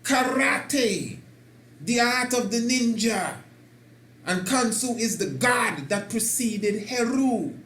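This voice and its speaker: A man hosting a talk, speaking slowly and emphatically and building to excited declarations. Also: extremely high pitch. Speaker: host. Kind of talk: preaching talk. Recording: roomy echo with faint noise.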